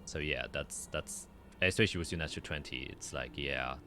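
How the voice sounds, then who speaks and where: A man narrating a tabletop roleplaying game as game master, explaining in a halting way and often rephrasing. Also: very deep voice, bright, forceful tone, slight background noise.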